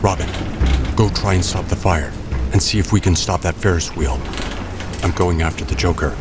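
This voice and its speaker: A man's voice: low-pitched, moderate pace, over loud music, natural voice. A man playing a grim, stern masked superhero in an audio drama, speaking in terse, grave lines. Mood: commanding.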